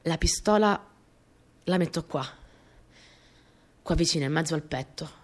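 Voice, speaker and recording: An actress playing a small, earnest, easily flustered young wartime partisan courier, telling her story in an intimate, expressive radio drama monologue. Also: low-pitched, unhurried. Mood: hushed, confiding.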